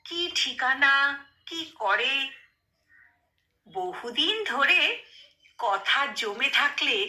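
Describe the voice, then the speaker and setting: A woman reciting poetry in a dramatic, expressive way, with deliberate phrasing and pauses. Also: on the high side. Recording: roomy echo on the voice.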